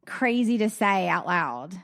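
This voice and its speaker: A woman's voice: medium pitch, very animated, a little slow, gravelly, a bit bright. A woman from South Carolina co-hosting a podcast in casual, playful chat, saying "like" constantly. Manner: chatty, heavy use of "like", playful. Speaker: podcast co-host.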